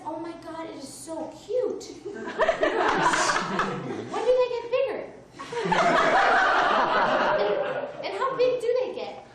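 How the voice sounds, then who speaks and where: A woman who grew up in Mexico performing a wry, self-mocking comedy monologue on stage in her one-woman show. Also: very high voice. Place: theater stage, live audience. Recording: distant echoey live stage recording with noise.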